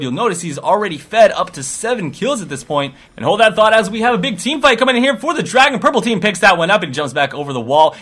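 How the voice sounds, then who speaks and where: A male esports commentator with a bright, forceful voice that sits a little high. He calls video game play moment by moment, with an excitable delivery that follows the action.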